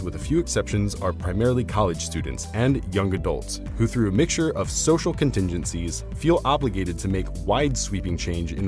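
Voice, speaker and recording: Deep-voiced man reading a scripted essay. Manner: formal, measured, academic. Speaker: video essayist. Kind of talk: scripted narration of an argumentative essay.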